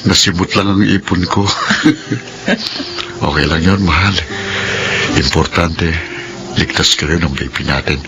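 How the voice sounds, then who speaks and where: Deep-voiced, gentle young husband. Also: male voice, fairly even intonation, measured pace, pauses often, loud music behind the voice. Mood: tender, happy. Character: devoted, gentle young husband and new father. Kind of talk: Filipino radio drama acting.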